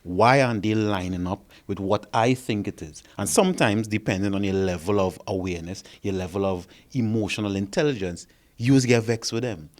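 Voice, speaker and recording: A fairly low-voiced man, a therapist, lays out his theory to the panel. He talks in an explanatory way and keeps checking in with the others by asking "right?" His delivery stays measured and conversational. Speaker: therapist and behavior consultant.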